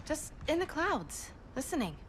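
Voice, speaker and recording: An eager young superheroine. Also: female voice, sits high, very animated, a little slow, very noisy. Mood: restless. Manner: earnest, frustrated.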